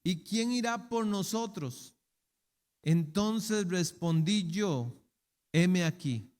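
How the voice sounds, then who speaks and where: A middle-aged pastor delivers a sermon in a fairly high voice, asking his congregation rhetorical questions and voicing the excuses people make. His words ring out with the long reverb of a church chapel. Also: lively intonation, extremely slow, darkish tone. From Costa Rica.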